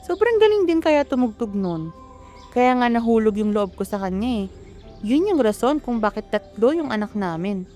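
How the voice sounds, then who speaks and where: A woman's voice: fairly high pitch, sing-song, moderate pace, warm tone, soft background music. A woman with a Filipino accent playing a chatty, teasing middle-aged mother of three in an amateur radio drama. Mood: playful, nostalgic.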